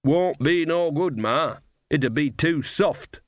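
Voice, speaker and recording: Man putting on a slow, gentle giant blacksmith. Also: on the high side, dry recording, studio quality, voice pushed up. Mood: slow, meek. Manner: slow, hesitant, broad rustic dialect. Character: huge, slow, gentle male village blacksmith, Jason Ogg.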